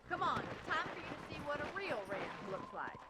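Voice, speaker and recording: Game role: forthright young American frontier rancher, higher-pitched, very animated, teasing. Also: female voice, a little fast, very noisy. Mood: brisk, teasing. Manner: sardonic, forthright. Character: spirited, teasing young ranch woman of the Old West. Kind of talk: video game character acting.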